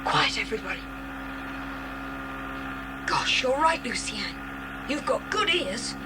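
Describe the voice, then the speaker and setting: A woman's voice: very animated, exaggerated voice. A woman playing a bold, decisive boy who leads other children in an audiobook drama. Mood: urgent, commanding. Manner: brisk, commanding, earnest. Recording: hissy, rumbling 1980s cassette transfer.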